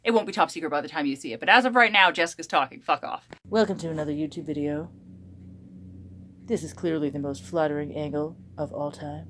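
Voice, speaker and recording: A woman's voice: deep voice, fast talker, fairly bright tone. A woman vlogger chatting casually in a joking, sarcastic way, swearing often, with a slightly crackly voice. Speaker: YouTube vlogger. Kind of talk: casual blooper vlog chat.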